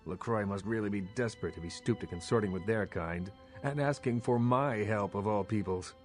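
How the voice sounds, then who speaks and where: An actor playing a proud, scornful vampire baron in a video game, speaking formally with a sardonic, imperious manner. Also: male voice, lowish voice, fairly quick, faint music behind, natural voice. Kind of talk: dramatic video game acting.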